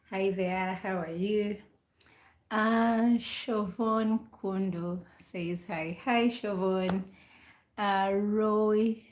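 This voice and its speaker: A woman's voice: medium pitch, darkish tone. Chatty woman streamer from Uganda, roomy echo. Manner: chatty, repeats herself, greets viewers by name. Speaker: live stream host. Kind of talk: casual live stream chat.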